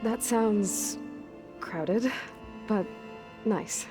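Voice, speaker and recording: An actress playing a guarded, determined young huntress in a video game, with an American accent and an understated, earnest delivery. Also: female voice, mid-range voice, very slow, over music. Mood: reserved, caring. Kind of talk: naturalistic video game acting.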